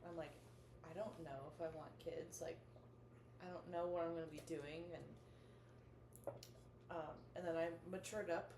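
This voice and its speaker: A young woman as a podcast guest, giggly and relaxed, talking in halting phrases. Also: low-pitched. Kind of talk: casual chat. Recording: echoey room with faint noise.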